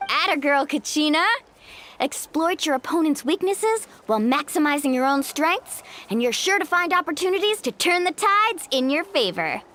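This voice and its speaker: Lively high-voiced playful young woman. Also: normal speed. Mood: encouraging. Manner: upbeat, playful, encouraging. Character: breezy, energetic, teasing young woman, encouraging friend. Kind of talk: video game voice acting.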